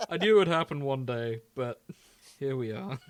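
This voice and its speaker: A man co-hosting a casual podcast, bantering and reading fan fiction aloud in a jokey way, often laughing. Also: medium pitch. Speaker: podcast co-host.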